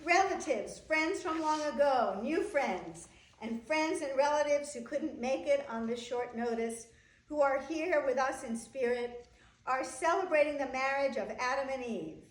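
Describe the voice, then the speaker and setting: A woman playing a solemn, benevolent wedding officiant in a staged reading, speaking formally and in a measured way. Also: high voice. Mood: solemn, celebratory. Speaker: actor. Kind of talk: staged play reading, ceremonial. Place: echoey room, live staged reading. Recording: echoey room, distant microphone.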